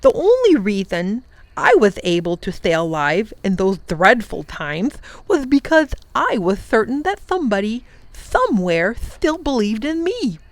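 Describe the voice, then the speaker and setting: A woman voicing a gentle old male Wangdoodle king who speaks in an earnest, confiding way in a storybook reading. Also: mid-range voice, very animated, unhurried, no echo.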